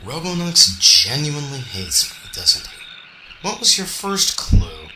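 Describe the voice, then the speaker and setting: A man's voice: on the high side, wildly swooping pitch, measured pace. A man voicing a stoic cyborg hedgehog, part computer, in an amateur radio drama, reporting in clipped machine-like phrases. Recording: roomy sound with faint noise.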